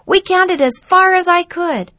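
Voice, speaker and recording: A woman voicing an excited, earnest young boy in a storybook reading, his lines pitched high and loud. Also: a little slow, no echo, clean studio sound, heavily acted. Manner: high and eager, loud exclamations.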